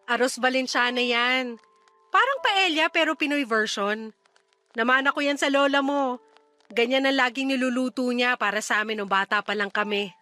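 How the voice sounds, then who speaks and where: Bright, high-voiced Filipina mother. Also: normal speed, faint music behind. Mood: glad, caring. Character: emotional mother making amends with her grown child. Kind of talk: Filipino radio drama acting.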